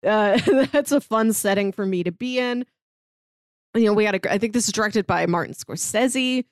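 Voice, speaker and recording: A woman co-hosting a podcast in a casual, conversational style. Her pitch is average and she talks in a chatty, enthusiastic way. The sound is dry, with little room in it. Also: normal speed, no echo. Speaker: podcast co-host pitching movies. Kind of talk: casual chat.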